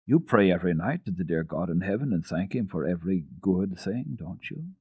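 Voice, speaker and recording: A man voicing a kind, pious old grandmother in a storybook, gently and patiently coaxing. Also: sits low, expressive, a little fast, slightly rough, no room sound, highs cut off, full character voice. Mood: earnest, kind.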